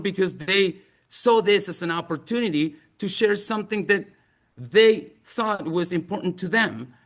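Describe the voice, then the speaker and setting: A man from Chile with a Chilean accent, giving a presentation in English as a non-native speaker in an earnest, emphatic and deliberate manner. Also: on the high side, a bit bright. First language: Spanish. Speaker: museum outreach presenter. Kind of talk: presentation to an audience with slides.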